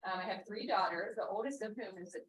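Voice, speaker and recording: A woman's voice: mid-range voice, quick pace. Earnest woman speaking in an echoey room. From Pennsylvania, US. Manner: earnest, many ums, reads from notes. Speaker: resident giving public comment. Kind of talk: public comment at a board meeting. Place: meeting room. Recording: echoey room, distant mic, faint hiss.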